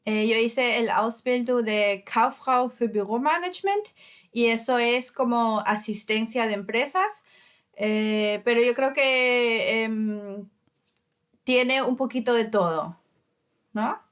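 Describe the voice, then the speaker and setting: Smooth-voiced Ecuadorian woman, hesitant. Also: a little high, slow pace. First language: Spanish. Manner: hesitant, many fillers and restarts. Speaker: interview guest. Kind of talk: casual interview.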